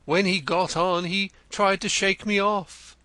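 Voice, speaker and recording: A man's voice: high voice, steady tone, measured pace, bright, forceful tone, no echo, pitched above the performer's own voice. A man voicing a vain, theatrical male poet in an audiobook, florid and gushing, stammering when pressed. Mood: effusive. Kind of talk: audiobook character reading.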